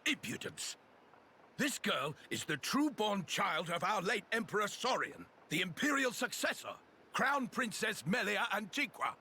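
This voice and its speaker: A man's voice: high voice, big pitch swings, unhurried, sharp tone, a little hiss or hum, heavily acted. A man playing an earnest, loyal warrior in a video game, formal and theatrical in his speech. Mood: shocked, reverent. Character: excitable, loyal male warrior, reverent of royalty. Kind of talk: video game cutscene acting.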